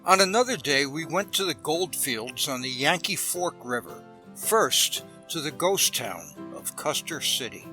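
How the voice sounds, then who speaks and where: A man's voice: a little high, big pitch swings, a little slow, bright, forceful tone. A man giving scripted narration for a travel vlog. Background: music.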